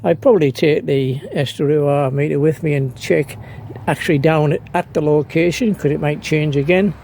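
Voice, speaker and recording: A man's voice: a little high, fairly even intonation, moderate pace, darkish tone. A man giving a casual, unscripted demonstration in an understated, hesitant way, often trailing off and picking the thread up again. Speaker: amateur radio operator, vlogger. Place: outdoors, partly on a breezy beach. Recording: clearly noisy outdoor ambience.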